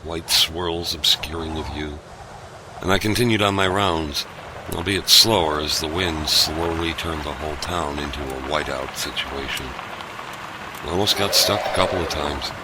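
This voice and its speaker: A man narrating and playing a calm, plainspoken delivery driver in an amateur audio drama. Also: rumbling low, normal speed, heavy background noise.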